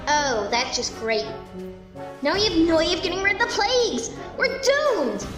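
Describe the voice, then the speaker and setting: A woman's voice: extremely high pitch, big pitch swings, normal speed. A woman voicing a brash, hot-tempered, sarcastic female pony in a fan fiction audio drama. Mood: angry. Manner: sarcastic, accusatory. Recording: roomy echo under loud music.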